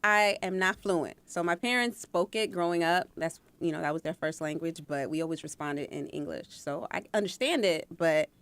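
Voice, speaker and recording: A woman's voice: lowish voice, moderate pace, hardly any pauses, fairly bright tone, smooth. A woman in her thirties from New York, a teacher chatting casually as a podcast guest. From New York, Long Island. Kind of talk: casual chat.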